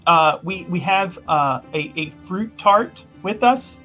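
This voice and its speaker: A man playing a flustered, obsequious university representative in improvised tabletop roleplay, halting and over-apologetic in formal language. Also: high voice, sharp tone, soft background music, exaggerated voice. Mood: eager to placate.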